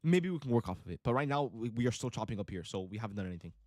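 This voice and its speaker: A man's voice: mid-range voice, expressive, very fast, darkish tone, dry recording, studio quality. A young man with a Miami accent, born in Italy with Italian as his first language, rambling casually to his livestream chat and saying "bro" a lot. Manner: rambling, filler-heavy, says bro a lot. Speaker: day trading livestream host. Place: home trading setup in Miami.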